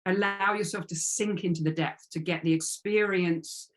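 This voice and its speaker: A woman with a low voice speaks as a guest in an interview. She is reflective and halting, circling back over words as she searches for the next thought. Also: steady tone. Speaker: interviewed author.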